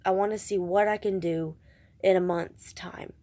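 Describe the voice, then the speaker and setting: A woman's voice: on the low side, fairly even intonation, normal speed, warm tone. A woman with a stuffed-up, congested voice, chatting casually in a vlog and rambling with self-deprecating restarts. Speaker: vlogger.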